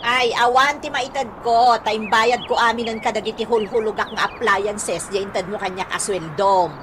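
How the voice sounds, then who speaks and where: A woman playing a sharp-tongued, debt-ridden wife in a radio comedy-drama, argumentative, with an Ilocano accent. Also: a little high, fairly even intonation, normal speed, natural voice. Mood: scolding. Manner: nagging, argumentative. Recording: clearly noisy audio.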